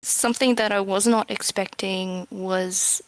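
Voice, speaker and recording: A woman with a mid-pitched voice answers questions in a casual developer interview. She is thoughtful and self-effacing, feeling her way through each answer with hesitations. The recording is close and dry. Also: fairly even intonation, measured pace, smooth, dry recording, rough recording. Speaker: game developer being interviewed.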